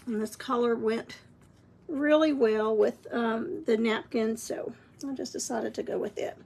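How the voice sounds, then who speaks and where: High-voiced husky woman. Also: fairly even intonation, unhurried, a little mellow. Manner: chatty, encouraging, folksy. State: scratchy voice, clearing throat. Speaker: craft painting instructor. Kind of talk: live-streamed painting tutorial.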